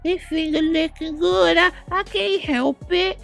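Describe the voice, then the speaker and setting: A man voicing a hyped, giddy woman in falsetto, excitable and drawn out, as a comic impression in a drama recap. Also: gravelly, faint music behind, exaggerated voice. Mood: giddy, defensive. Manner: excitable, drawn-out squealing delivery.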